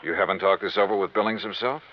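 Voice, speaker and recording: A man's voice: low-pitched, quick pace, close to an everyday voice. An actor playing a wry, probing insurance investigator who narrates his own case in 1950s radio detective style. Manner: wry, questioning, conversational. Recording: dull old radio recording, music behind.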